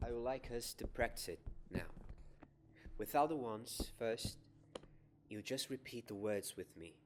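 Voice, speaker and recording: A young man playing a gentle wizard professor in an ASMR roleplay, soft-spoken and often whispering, measured and encouraging. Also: mid-range voice, background noise, lightly acted. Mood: calm, encouraging. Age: young adult. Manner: measured, encouraging teacher, repeating words softly. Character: gentle wizard professor teaching a magic class.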